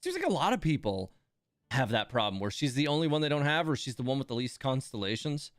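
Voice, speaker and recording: Casting: female stream host, extremely low pitch, dry close sound, conversational and musing game commentary. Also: very animated, fast talker, no room sound. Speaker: livestream host. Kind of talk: casual reaction commentary on game voice lines.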